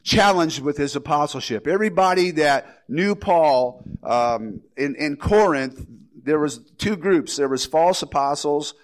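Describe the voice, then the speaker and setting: A man's voice: a little high, animated, unhurried. A man with a slight cold preaching a casual, rambling verse-by-verse sermon as pastor. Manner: rambling, folksy, with restarts and asides. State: has a head cold.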